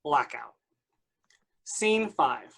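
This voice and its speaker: A man's voice: mid-range voice, very animated, very slow, gravelly, fairly bright tone, breathy edge. A man reading scripted stage directions as the narrator of a staged play reading.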